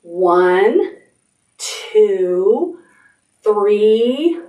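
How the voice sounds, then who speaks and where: Slow-paced woman leading toddlers, roomy distant sound. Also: medium pitch, animated, mellow. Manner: simple, repeated, encouraging instructions. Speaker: children's librarian leading a toddler storytime. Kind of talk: instructional talk to toddlers. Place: indoor room decorated with stuffed animals. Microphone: camera a few metres away.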